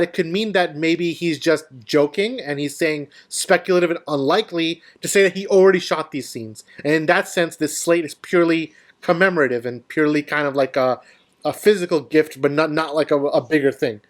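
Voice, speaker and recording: A man's voice: a little high, fairly quick. A man hosting a casual film discussion, explaining his view and putting questions to the panel. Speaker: host of a film discussion show.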